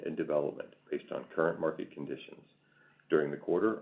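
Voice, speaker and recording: A man reading a scripted financial report as a company executive on a conference call. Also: very deep voice. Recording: tinny phone-like conference line.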